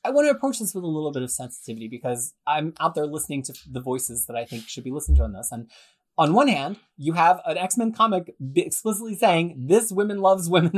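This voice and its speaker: A man hosting a podcast discussion, steering the talk and handing over to the panel. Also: on the high side. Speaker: podcast host.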